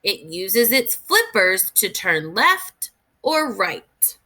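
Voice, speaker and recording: Animated woman reading aloud to children. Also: medium pitch, extremely slow. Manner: instructive, expressive, addressing young children. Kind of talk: read-aloud lesson with think-aloud questions.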